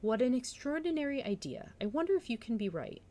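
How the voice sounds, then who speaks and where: A woman's voice: on the high side, very animated, brisk, warm tone, pitched above the performer's own voice. A woman voicing a gossipy village spinster in a storybook read-aloud, chatty and insinuating as she eagerly passes on tales. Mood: curious.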